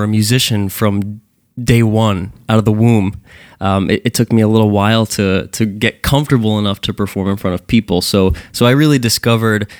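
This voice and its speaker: Low-voiced Jersey man, dry close sound. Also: a little mellow, dry recording. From New Jersey. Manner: earnest, self-deprecating, frequent small restarts. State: a little tired. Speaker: guest, band frontman. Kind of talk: casual interview chat.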